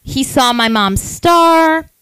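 Excitable rambling woman telling a story. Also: sits high, takes it slowly, no room sound. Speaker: podcast co-host. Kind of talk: live podcast storytelling before an audience.

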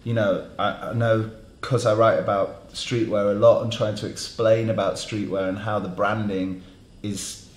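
A man's voice: fairly low pitch, flat delivery, normal speed, reverberant. A man, a fashion journalist, hosting a panel discussion with rambling restarts. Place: dark studio around a table.

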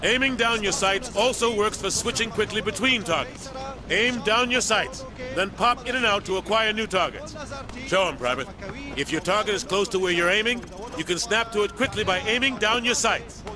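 Guy playing american army sergeant in a shooter game, bossy blunt instructor, highish voice, talking loud to a group. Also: moderate pace, close to an everyday voice. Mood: commanding, blunt. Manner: blunt, commanding, projecting to a group. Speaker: drill instructor. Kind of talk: military game tutorial dialogue.

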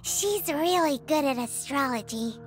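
A woman voicing a tiny, chirpy, money-loving fairy companion in a video game, with a squeaky childlike voice. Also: lively intonation, a little slow, faint music behind. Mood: eager, scheming. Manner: childlike, talks of self in third person.

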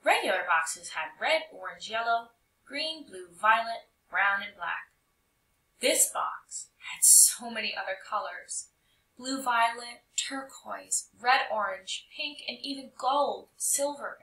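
A woman reading a children's picture book aloud in an expressive, animated way with emphatic phrasing. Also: on the high side, takes it slowly. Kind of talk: expressive storybook read-aloud narration. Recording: roomy echo, distant mic.

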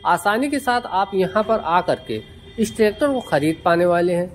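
A woman giving a walkaround review of a tractor, explaining each feature in a steady, repetitive way and often addressing farmers as brothers. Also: on the low side, normal speed, a little hiss or hum. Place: at a tractor dealership.

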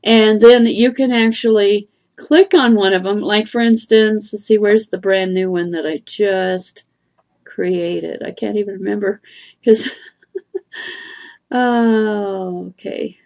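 Slow-talking woman giving a webcam tutorial. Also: medium pitch, fairly even intonation, darkish tone. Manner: chatty, meandering, fillers and restarts. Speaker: online marketing trainer. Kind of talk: screen-share tutorial.

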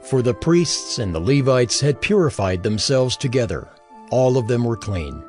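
A man narrating an audio Bible in a measured, solemn storytelling manner. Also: medium pitch, big pitch swings, a little mellow. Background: music.

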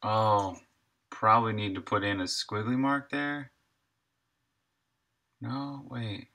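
A man's voice: fairly low pitch, fairly even intonation, slow, pushed and bright. A man in his thirties livestreaming game development, casually thinking aloud in short, muttered thoughts as he works.

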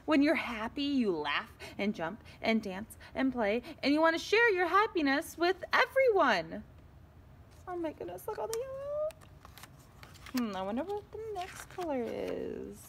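A woman reads a picture book to young children as their teacher, pausing for asides. Her voice is high and lively, delivered slowly and deliberately. A faint noise sits behind her. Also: gravelly. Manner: expressive, slow and deliberate, addressing young children.

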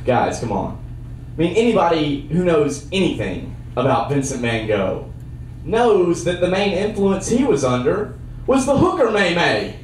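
A young man doing a stand-up comedy set with a handheld mic on a theater stage, trading dry one-liners for sudden loud bursts. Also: a little high, big pitch swings, unhurried, slight background noise. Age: young adult. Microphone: handheld mic on a cord. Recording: roomy sound from a far camera.

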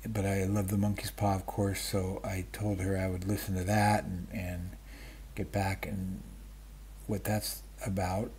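A man in his fifties or older chatting casually about stories, halting and interrupting himself in a self-deprecating way, with a husky voice. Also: low-pitched, little pitch movement, darkish tone, slight background noise. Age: 50s or older. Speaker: book vlogger. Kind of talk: casual rambling chat about stories and radio. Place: small room at home, indoors. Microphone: webcam framing, wearing wired earphones.

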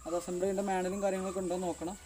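A young man talks quickly and casually, walking viewers through a gym bench build one step at a time. He is recorded outdoors in a leafy yard by a camera set at a distance. Music plays clearly beneath his voice. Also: high voice, little pitch movement, dark tone. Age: young adult. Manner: chatty, explains step by step while assembling. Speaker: fitness vlogger. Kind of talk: casual how-to commentary. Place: outdoor garden yard among banana trees. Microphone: camera on tripod at a distance.

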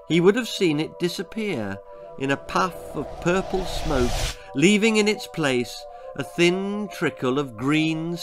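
A British man in his sixties reading a children's story aloud theatrically, with deliberate phrasing and dramatic pauses. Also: mid-range voice, fairly bright tone. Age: 60s. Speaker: author reading his own stories. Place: small indoor room lined with books.

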